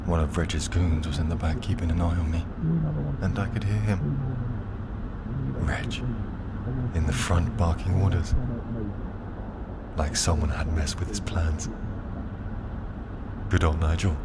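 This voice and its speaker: Casting: male narrator for dark crime drama, low-pitched, unhurried, brooding, with clear background ambience. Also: steady tone. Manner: brooding, deliberate, dramatic pauses. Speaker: narrator and performer. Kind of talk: dark first-person scripted drama narration. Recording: clear ambient noise behind the voice.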